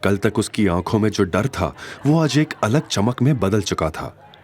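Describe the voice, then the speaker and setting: A man's voice: on the low side, animated, a little slow, a little mellow. A man narrating an audio drama in a dramatic, scripted storytelling style.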